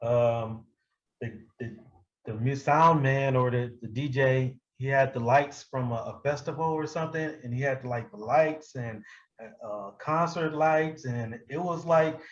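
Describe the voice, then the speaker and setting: An American man telling stories casually in an interview, profane and quick to laugh. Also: highs cut off. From Milwaukee, United States. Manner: animated, profane, breaks often into high-pitched laughter. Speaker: hip-hop artist being interviewed. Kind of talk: casual anecdotal storytelling.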